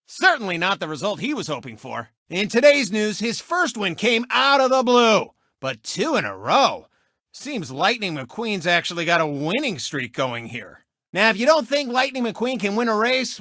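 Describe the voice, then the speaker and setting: A man's voice: high voice, pushed and bright, dry recording, clean studio sound, exaggerated voice. A man voicing a cocky, gloating cartoon race car who hosts a sports show in a video game, brash and theatrical. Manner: brash, smug, theatrical showman. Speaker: racer and TV racing commentator. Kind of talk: animated video game sports commentary and taunts.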